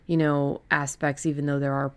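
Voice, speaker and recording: A woman with a notably low voice chats casually as a podcast co-host and writer. She talks in a halting way, working out her thoughts aloud. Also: level tone, clean tone. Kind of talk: casual chat about writing.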